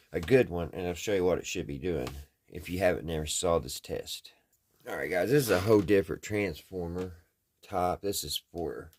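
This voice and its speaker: A man's voice: low voice, no room sound. An American man talking casually and folksily, thinking aloud as he hosts a hands-on repair vlog. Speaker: host of a radio repair video.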